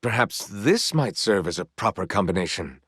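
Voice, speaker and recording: A young noble knight for a fantasy video game. He speaks in a lowish male voice with very lively, swooping intonation. His phrasing is formal and old-fashioned, earnest and gently proud, captured dry and close. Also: moderate pace, husky, no room sound, heavily acted. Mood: courteous, composed. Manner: formal, archaic, eloquent phrasing. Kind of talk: video game character voice lines.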